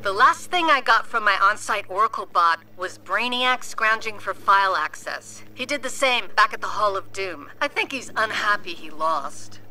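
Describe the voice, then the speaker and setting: An actress playing a sharp-witted young female superhero hacker in a video game, brisk, wry and conversational. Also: mid-range voice, animated, lightly acted, poor audio. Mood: worried, focused. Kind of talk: video game character acting. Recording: music under the voice.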